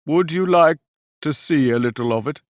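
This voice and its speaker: A man voicing a weepy, melancholy male sea creature who talks slowly and mournfully. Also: a little high, dry recording, pitched above the performer's own voice, lightly acted. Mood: sad. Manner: slow, drawn-out and mournful.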